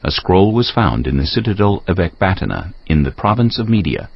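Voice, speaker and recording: A man with a gravelly, husky voice narrating scripture from a script in a formal storytelling manner. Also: deep voice, sing-song, a little fast. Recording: slightly dull, lo-fi audio.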